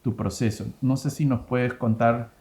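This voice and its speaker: A man in his thirties hosts a relaxed interview, speaking with a mellow, warm tone. He asks questions in a chatty way, often circling back to rephrase them. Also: mid-range voice, normal speed. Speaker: host, interviewer. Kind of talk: casual interview, plus read sponsor ad.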